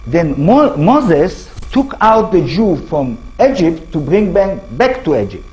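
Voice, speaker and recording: Israeli man lecturing with lively, husky voice. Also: high voice, slow pace. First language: Hebrew. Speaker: author giving a talk. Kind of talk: public lecture.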